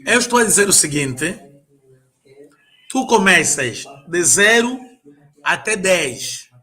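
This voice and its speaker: A man's voice: high voice, very animated, takes it slowly, pushed and bright. A man tutoring a student in an informal lesson, patiently repeating and drilling words. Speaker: language tutor.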